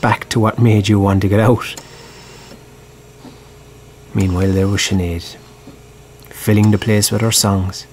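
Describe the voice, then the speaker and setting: An actor playing a probing, reflective writer with an Irish accent, narrating and talking in a radio drama. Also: male voice, lowish voice, fairly even intonation, unhurried, long pauses, darkish tone, a little hiss or hum, natural voice. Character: probing, reflective male writer telling a troubled friend's story.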